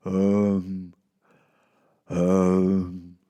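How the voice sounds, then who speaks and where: A man's voice: low voice, monotone, warm tone. A man voicing a disappointed, stunned old Santa Claus who stumbles over his words in a horror comedy audio drama. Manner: halting, hesitant.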